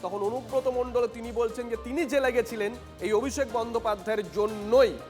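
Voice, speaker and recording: A man's voice: very high voice, steady tone, brisk, darkish tone. A man with a West Bengal Bengali accent hosting a live television news panel, steering guests with brief acknowledgements. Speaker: news debate host. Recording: echoey reverberant sound over music bed.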